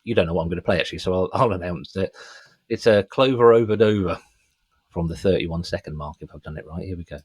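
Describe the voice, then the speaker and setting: A man's voice: sits low, quick pace, breathy edge. A middle-aged man co-hosting a music podcast, chatting casually with an enthusiastic, sweary fan's manner. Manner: enthusiastic, sweary fan talk.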